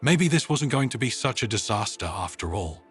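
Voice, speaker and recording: A man narrating a scripted story in a dramatic, expressive audiobook style. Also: mid-range voice, normal speed, faint music behind.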